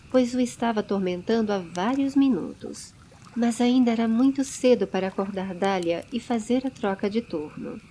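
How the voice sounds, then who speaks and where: A woman's voice: a little high, mellow, slight background noise. A woman with a Brazilian accent narrating a dramatized fantasy audiobook.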